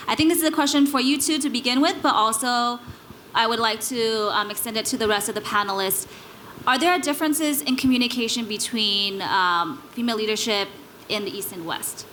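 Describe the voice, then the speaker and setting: A woman's voice: fairly high pitch, pushed and bright. A woman moderating a live panel, putting questions to the speakers. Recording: clear background noise, live event ambience.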